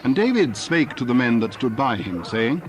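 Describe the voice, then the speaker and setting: A man narrates scripture from a script in a medium-pitched voice. Clear background noise runs beneath his reading. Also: big pitch swings, normal speed. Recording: noisy background ambience.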